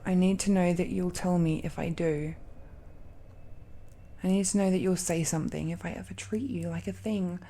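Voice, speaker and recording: A woman playing an affectionate, possessive dragon-blooded girlfriend in an intimate romantic audio roleplay, speaking haltingly with breathy murmurs. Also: fairly low pitch, fairly even intonation, a little hiss or hum, lightly acted. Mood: earnest, pleading. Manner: hesitant, halting, with breathy murmurs and soft laughs.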